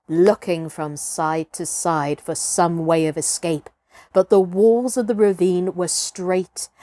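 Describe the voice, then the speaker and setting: Slow, deliberate woman storyteller. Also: medium pitch, a little mellow, no room sound, studio quality. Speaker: storyteller, audiobook reader. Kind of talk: expressive children's story narration.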